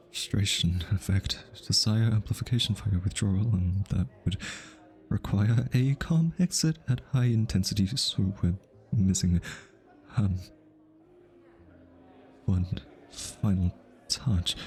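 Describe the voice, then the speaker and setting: A man playing an easily flustered, lovestruck hitman in an intimate ASMR roleplay, halting and stammering as he nervously over-explains. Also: lowish voice, darkish tone. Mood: nervous, analytical.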